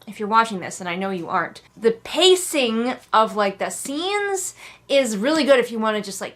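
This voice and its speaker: A woman with a fairly high voice hosts a casual readalong vlog. She comments with comic exasperation, leaning hard on her words and keeping up a sarcastic edge. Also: fairly bright tone. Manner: acts out characters in mocking asides. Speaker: host of a book readalong vlog. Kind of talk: casual comedic commentary on a book.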